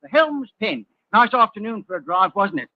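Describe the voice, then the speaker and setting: An actor playing a cheery, deferential Cockney cab driver in a 1940s radio drama. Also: male voice, sits high, big pitch swings, moderate pace. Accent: London Cockney. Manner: chirpy, obliging. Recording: dull, noisy old radio broadcast.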